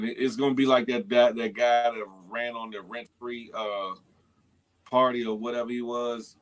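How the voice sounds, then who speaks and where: A man hosting a morning show, teasing his co-host with loose, slangy talk and frequent laughter. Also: fairly low pitch. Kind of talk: casual morning show banter.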